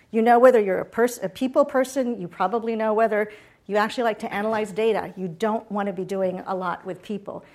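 Chatty woman giving a lively talk. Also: fairly high pitch. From Puerto Rico. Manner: chatty, asks audience questions. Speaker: guest speaker.

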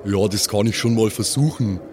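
A man's voice: lowish voice, normal speed, darkish tone. Man casually playing a tabletop game. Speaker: player in a tabletop roleplaying podcast. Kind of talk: casual improvised roleplay chat.

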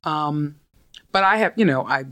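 A middle-aged woman from New with a deep, soft, soothing voice, speaking as a podcast guest in a calm, self-assured way. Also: normal speed. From United States. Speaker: podcast guest, law firm partner. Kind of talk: casual interview conversation.

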